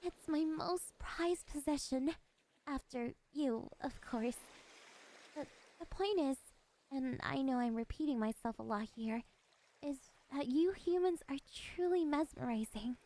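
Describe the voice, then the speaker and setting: A high, feminine siren voice, acted out by a woman for an ASMR roleplay, with some noise behind it. She is lonely and curious, coaxing the listener wistfully. Beneath the politeness she is possessive and quietly menacing. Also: a little slow. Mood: fascinated, then entranced, coaxing. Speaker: voice actress.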